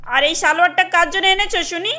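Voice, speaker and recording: Woman doing a shrill, scolding cartoon mother-in-law. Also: fast talker, faint music behind. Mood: scolding, indignant. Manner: sharp, nagging, indignant questioning. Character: stern, scolding, old-fashioned mother-in-law in a family cartoon. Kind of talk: cartoon voice acting.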